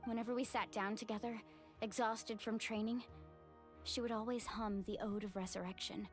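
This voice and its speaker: A woman's voice: fairly high pitch, fairly even intonation, moderate pace, lightly acted. A woman playing a passionate, loyal young warrior in an English video game, with an earnest, emotional delivery. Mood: grieving. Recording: music under the voice.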